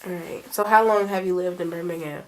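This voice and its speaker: A woman's voice: fairly low pitch, fairly even intonation, a little fast, poor audio. A woman interviewer asking brief, plain questions.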